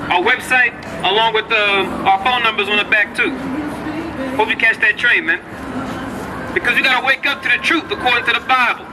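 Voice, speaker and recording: A man with a strikingly high voice preaches in the open air, explaining scripture to a passerby in a measured, lecturing tone. A crowd chatters around him and city traffic hums in the background. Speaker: street preacher. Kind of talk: street preaching, scripture teaching. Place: outdoor city street corner. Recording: outdoor street with crowd and traffic noise.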